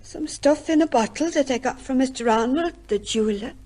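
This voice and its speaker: A woman's voice: high-pitched, fairly quick, dark tone. An actress playing a timid, deferential young working woman in an old-time radio drama, speaking hesitantly with stammers. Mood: nervous, hesitant.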